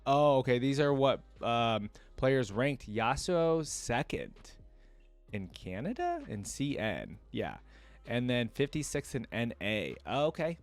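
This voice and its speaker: A man's voice: mid-range voice, sing-song, takes it slowly, no echo. A man making a reaction video, chatty and thinking aloud as he reads text out and comments casually.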